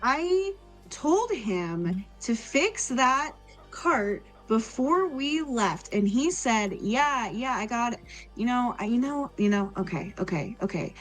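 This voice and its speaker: A woman's voice: fairly high pitch, very animated, takes it slowly, faint music behind, poor audio. A woman voicing an earnest, bossy but kindly female adventurer in a tabletop game, talking in an emphatic ramble. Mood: exasperated.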